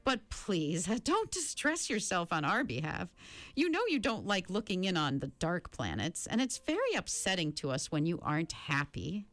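A woman voicing a kindly, brisk, otherworldly old lady in a storybook, soothing and quaintly polite. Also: on the low side, sing-song, fairly bright tone, no room sound. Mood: reassuring. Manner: murmuring, quick reassurance, quaint politeness.

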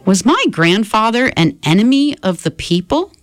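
A woman's voice: mid-range voice, animated, moderate pace, no room sound, soft background music, pitched above the performer's own voice, close to an everyday voice. A woman voicing an anxious young boy who asks urgent, pleading questions.

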